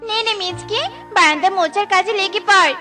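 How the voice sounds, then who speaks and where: A woman's voice: very high voice, brisk. A woman voicing a vain, melodramatic cartoon housewife, whiny, theatrical and scolding, with music in the background. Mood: complaining. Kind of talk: animated cartoon dialogue.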